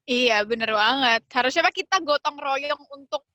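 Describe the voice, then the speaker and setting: Young woman from Java, podcast co-host, agreeable chatter, high voice, dry close sound. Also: sharp tone, no room sound. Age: young adult. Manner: agreeable, quick to chime in. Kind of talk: casual chat.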